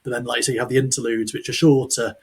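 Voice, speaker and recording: A man hosts a podcast in a casual, chatty style. His voice is medium in pitch and husky, and he speaks quickly. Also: little pitch movement, a little mellow. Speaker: podcast host. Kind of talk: casual chat.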